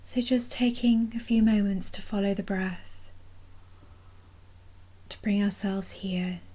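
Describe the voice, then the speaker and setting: A woman guides a meditation in a soft, mellow voice with a breathy, husky edge. She speaks very slowly and gently, leaving long pauses between phrases. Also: mid-range voice, steady tone. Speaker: mindfulness practice guide. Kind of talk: guided body scan meditation.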